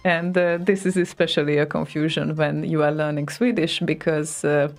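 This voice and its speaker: A woman's voice: low-pitched, level tone, moderate pace, faint music behind. A woman co-hosting a live internet radio show, chatting casually with hesitant fillers and frequent laughs. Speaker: radio co-host.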